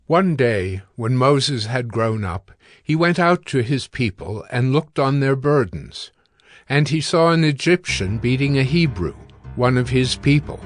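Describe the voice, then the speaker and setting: Male scripture narrator over soft music. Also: medium pitch, animated, measured pace, no room sound, clean studio sound.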